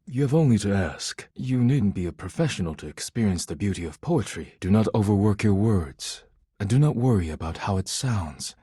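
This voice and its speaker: A solemn, earnest male video game character who loves poetry. His voice is low and heavily husky, recorded close and dry. He speaks formally and with measured pride, choosing each phrase with care. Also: expressive, mellow, dry recording. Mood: formal, encouraging. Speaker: voice actor in a dating simulator game.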